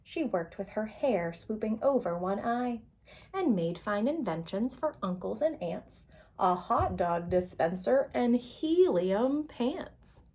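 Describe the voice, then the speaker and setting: A woman's voice: mid-range voice, animated, takes it slowly, darkish tone. A woman reading a children's picture book aloud as a storyteller, expressively and with dramatic emphasis.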